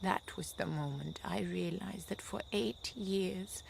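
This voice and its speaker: A woman's voice: fairly low pitch, slow, creaky, a little hiss or hum, heavily acted. An actress playing an earnest young wife and mother who is resolved to break free of her marriage in a radio drama. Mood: hurt, accusing.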